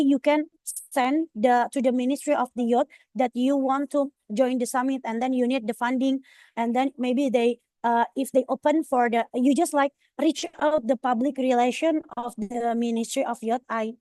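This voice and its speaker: A young woman with an Indonesian accent walks through slides on a video call. Her voice sits a little high, and she hedges and restarts often. The sound is dry and close. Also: warm tone, no echo. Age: young adult. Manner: hesitant, many fillers and restarts. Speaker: presenter. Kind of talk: online orientation presentation.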